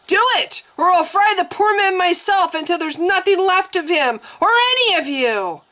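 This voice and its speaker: A woman's voice: expressive, moderate pace, noisy background. A woman voicing a fierce, desperate young priestess who screams in rage. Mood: enraged. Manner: shrill screaming outbursts.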